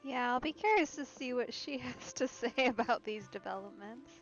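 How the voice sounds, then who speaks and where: A woman's voice: a little high, normal speed. A woman streaming a video game, giving casual live commentary. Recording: slightly dull sound over game music.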